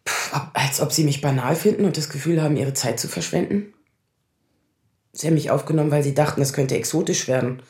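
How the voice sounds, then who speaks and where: A woman's voice: low voice, a little fast, a little mellow, roomy sound, close to an everyday voice. An actress playing a defensive, sardonic woman in her thirties, confessional, in naturalistic radio drama style. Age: 30s.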